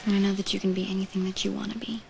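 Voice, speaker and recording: A woman playing a soft, devoted young heiress. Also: mid-range voice, very fast, creaky, lightly acted. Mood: earnest tender. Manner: soft, often whispery and reassuring. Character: devoted, earnest young woman from a wealthy family. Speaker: actor in a daytime soap. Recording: TV soap audio with steady background noise.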